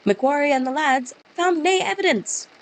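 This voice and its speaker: A woman's voice: high-pitched, expressive, moderate pace, voice pushed up. A woman putting on a scornful Scottish police officer. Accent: Scottish, put on. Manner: curt, scornful, brushes off the witness. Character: skeptical dismissive male Scottish police officer. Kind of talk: reading game dialogue aloud.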